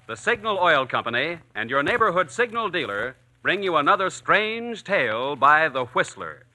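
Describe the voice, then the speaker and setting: Very bright, forceful 1940s radio announcer man. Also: fairly high pitch, sing-song, moderate pace, no echo, soft background music, poor audio. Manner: polished, emphatic delivery. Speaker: radio announcer, sponsor pitchman.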